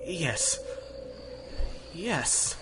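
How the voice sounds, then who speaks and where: Anguished, high-voiced scientist, noisy track. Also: male voice, very animated, very slow, full character voice. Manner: nervous, hesitant. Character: anguished, guilt-ridden rocket scientist working for villains. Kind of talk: 1930s-style radio drama acting.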